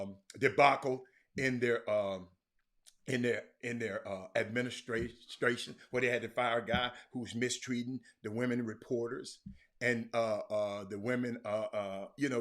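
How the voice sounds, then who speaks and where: A man's voice: mid-range voice, bright, forceful tone. An American man hosting a casual sports livestream, chatting to viewers in a folksy way and repeating phrases for emphasis. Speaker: sports talk show host.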